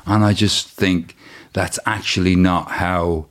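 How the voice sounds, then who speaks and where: Deep-voiced man in his fifties. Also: fairly even intonation. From South London and Dublin. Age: 50s. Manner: halting, searching for words, many restarts. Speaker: interview guest. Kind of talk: reflective interview conversation.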